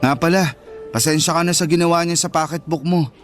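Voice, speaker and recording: A man's voice: fairly high pitch, fairly even intonation, brisk, faint music behind, natural voice. A man playing a protective, fair-minded schoolboy in a Filipino radio drama, with an earnest, reassuring manner. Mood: gentle, reassuring.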